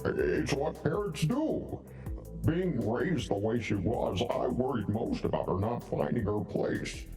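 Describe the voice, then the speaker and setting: An actor playing a protective, plainspoken middle-aged father in a video game, frank and folksy with a quiet threat underneath. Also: mid-range voice, big pitch swings, slow, far from the mic, soft background music. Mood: tender, reflective. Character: protective, plainspoken older father of an outlaw woman. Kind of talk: scripted video game voice acting.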